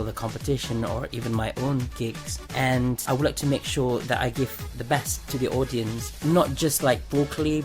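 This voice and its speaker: Earnest Malaysian man over music. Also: mid-range voice. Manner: earnest, careful, slightly formal phrasing. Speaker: interview guest, singer. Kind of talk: reading prepared interview answers. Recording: music playing underneath.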